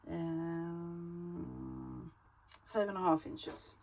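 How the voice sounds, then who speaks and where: A woman's voice: on the low side, huge pitch swings, extremely slow, darkish tone. A Swedish woman with a Swedish accent, her native tongue Swedish, hosting a casual craft tutorial and chatting with hesitant fillers as she works. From Sweden. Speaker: crafting channel host.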